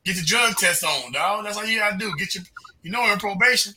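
A man's voice: high-pitched, fairly quick, a loud crowd. A man in casual joking banter, teasing and swearing in slangy talk.

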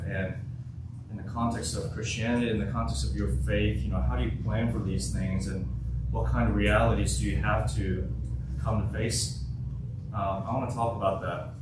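A man in his twenties from South Korea, a native Korean speaker, talks to a youth group in a loose, chatty way and keeps checking in with them. His voice sits a little low and reaches the mic from some distance. The large hall around him rings with strong echo, and a clear room hum runs underneath. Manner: conversational, asks the audience many questions. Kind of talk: youth workshop talk. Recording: echoey hall, voice from far off.

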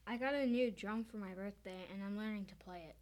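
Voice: medium pitch, brisk, a little mellow, dry recording, close to an everyday voice. A performer playing an eager, oblivious little boy in an amateur comic radio play, cheerfully persistent. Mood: eager, proud.

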